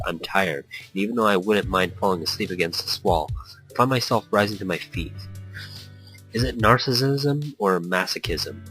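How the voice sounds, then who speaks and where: A man with a low voice reads a visual novel aloud as a let's-play host. He stumbles over lines now and then and breaks off for unscripted comments. Soft music plays underneath. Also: low-quality recording. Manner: stumbles over words while reading.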